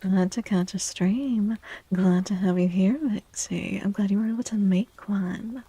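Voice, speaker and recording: A woman speaking softly and breathily in a gentle, unhurried half-whisper, chatting as an ASMR livestream host. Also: medium pitch, fairly even intonation, breathy edge, no room sound. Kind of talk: soft-spoken ASMR livestream chat. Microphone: close microphone, dry.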